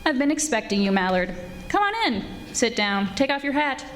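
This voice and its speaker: A woman's voice: fairly high pitch, moderate pace, a little hiss or hum, close to an everyday voice. A woman playing a wry, breezy female private eye who narrates in 1940s radio detective style. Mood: sardonic, unruffled. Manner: wry, breezy, flirtatious deadpan. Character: wisecracking glamorous female private eye, former model. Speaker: radio theatre actor. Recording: live stage recording with music.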